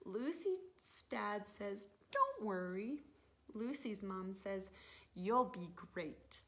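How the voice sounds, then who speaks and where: A woman in her thirties, a teacher reading a picture book aloud to young children in an expressive, animated manner with drawn-out words. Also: mid-range voice. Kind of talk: expressive children's story time read-aloud. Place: living room, indoors on a sofa.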